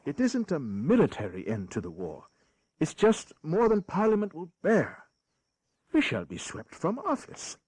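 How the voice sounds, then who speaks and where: A man's voice: a little high, wildly swooping pitch, measured pace, a little mellow, slightly rough, no room sound, heavily acted. A man playing a weary, bitterly ironic in a history audio drama, with a theatrical, wry manner. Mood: apologetic. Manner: theatrical, wry, deferential to the king.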